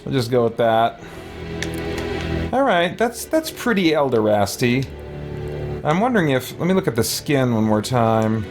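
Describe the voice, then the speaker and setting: A man's voice: mid-range voice, sing-song, unhurried, long pauses. Man musing aloud over game music. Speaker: gaming host, let's player. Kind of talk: casual off-the-cuff gameplay commentary.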